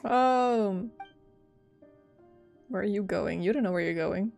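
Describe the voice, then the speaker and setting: A woman's voice: mid-range voice, slow, gravelly, a little mellow, soft background music. A woman streamer reacting expressively to a game's story as she plays. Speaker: gaming streamer. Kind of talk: live game reaction commentary.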